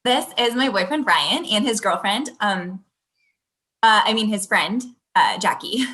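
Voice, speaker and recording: A woman's voice: mid-range voice, lively intonation, measured pace, bright, forceful tone. A young woman playing a wry, polite thirty-year-old girlfriend in a comedy table read. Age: young adult.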